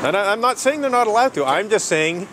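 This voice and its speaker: A man with a fairly high, animated voice argues with insistence on a busy street, and often repeats himself to hold his ground. Traffic and city noise run clearly behind him in the outdoor recording. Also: fast. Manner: argumentative, insistent, laughs between points. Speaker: street protester. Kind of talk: heated street argument.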